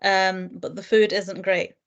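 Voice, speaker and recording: A woman teacher and lecturer answering interview questions in a thoughtful, earnest way, with frequent fillers and soft laughs. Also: medium pitch, flat delivery, unhurried, highs cut off. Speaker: guest, primary teacher and lecturer. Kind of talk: reflective interview conversation.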